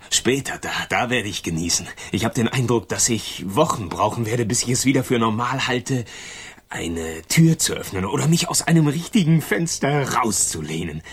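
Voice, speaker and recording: An emotionally raw escaped convict in a radio crime drama. He has a low, heavily husky, breathy voice and talks haltingly and confidingly, uneasy with his own gratitude. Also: exaggerated voice. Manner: hesitant, confiding. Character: grateful, uneasy male jailbreaker, emotionally raw crook.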